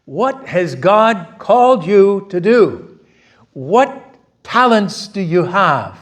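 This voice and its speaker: Loud, high-pitched preaching pastor. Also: male voice, big pitch swings, takes it slowly. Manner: switches between Korean and English, emphatic preaching with rhetorical questions. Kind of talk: bilingual sermon. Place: church sanctuary.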